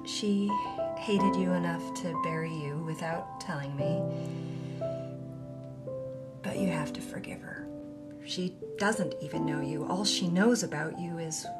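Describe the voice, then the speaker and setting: An actress playing an earnest, admiring young granddaughter in an audio drama, speaking sincerely and a little haltingly. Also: female voice, low voice, over loud music. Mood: gentle reproach. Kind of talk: audio drama acting, conversational.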